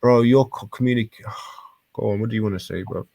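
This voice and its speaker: Male, thirties, British. Low-pitched, insistent debater who stumbles and repeats himself, recorded dry. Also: gravelly, mellow, dry recording. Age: 30s. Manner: repetitive, halting, insistent, sweary slang. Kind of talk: heated argumentative debate.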